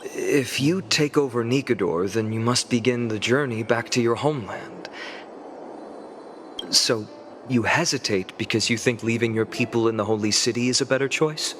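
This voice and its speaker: Man acting a thoughtful, mid-pitched young hero. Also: lightly acted. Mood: sympathetic, pensive. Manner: earnest, measured, sympathetic. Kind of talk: dramatic video game voice acting. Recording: loud background music.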